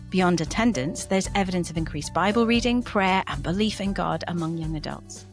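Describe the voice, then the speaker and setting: A woman hosting a church podcast, reading a scripted introduction and then putting upbeat questions to her guest. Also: medium pitch. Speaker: podcast host.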